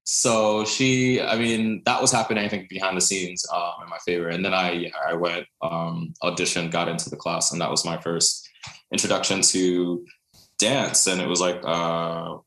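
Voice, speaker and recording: Deep-voiced Brooklyn man in an echoey room. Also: flat delivery, normal speed, fairly bright tone. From Brooklyn, New York. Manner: chatty, many fillers, laughs often. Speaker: guest, contemporary dancer. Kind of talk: casual interview storytelling.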